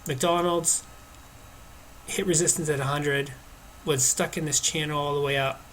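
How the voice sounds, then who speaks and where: A man's voice: a little high, fairly even intonation, measured pace, fairly bright tone, poor audio. Man, stuffed-up nasal voice, market commentary, hesitant with lots of uhs, faint background hiss. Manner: hesitant, many uhs and restarts. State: stuffed up from allergies. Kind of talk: casual screen-share chart commentary.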